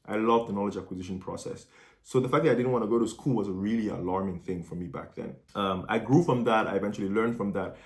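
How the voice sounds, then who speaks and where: A man's voice: lowish voice, quick pace. A young man, a medical student whose first language is not Dutch, talking earnestly and encouragingly to his viewers in a casual vlog.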